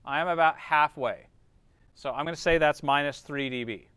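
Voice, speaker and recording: A man's voice: a little high, normal speed, fairly bright tone, smooth, dry recording. A man teaching a class informally, explaining step by step and often checking understanding with short tag questions.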